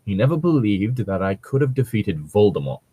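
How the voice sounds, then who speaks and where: Sarcastic man with very animated delivery. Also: lowish voice, a little fast, husky, no echo. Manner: sarcastic, exasperated, sweary. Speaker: host of a fan fiction reading show. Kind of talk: casual comedic reading with mocking commentary.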